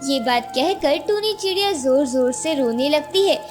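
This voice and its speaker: Female storyteller for children's tales: notably high, youthful pitch, scripted narration over clear music. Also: animated, normal speed.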